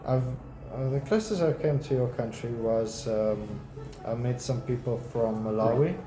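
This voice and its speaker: A man's voice: medium pitch, normal speed. A young man from South Africa chatting casually as a customer, hesitant with frequent ums and restarts. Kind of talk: casual chat. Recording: echoey room, faint music.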